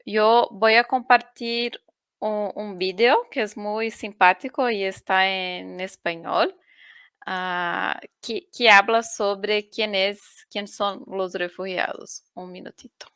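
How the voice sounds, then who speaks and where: A woman from Brazil speaking Spanish as a second language with a Brazilian Portuguese accent, presenting on a video call and often pausing to look for words. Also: medium pitch, fairly even intonation, fairly bright tone, clear, resonant tone, dry recording, studio quality. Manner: unhurried, searching for words, frequent "¿no?". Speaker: UN refugee agency panelist. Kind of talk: online forum presentation.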